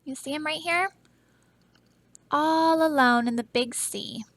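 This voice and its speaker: High, bright-voiced woman teacher, slow and expressive. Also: no echo. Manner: animated, dramatic, questioning the listeners. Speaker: teacher reading a picture book aloud. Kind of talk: expressive children's read-aloud with explanatory asides.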